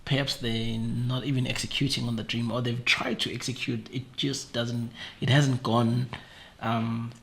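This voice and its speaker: A man hosting a podcast, asking slow, thoughtful questions. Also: mid-range voice, flat delivery, gravelly, a little hiss or hum. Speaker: podcast host. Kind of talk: interview questions.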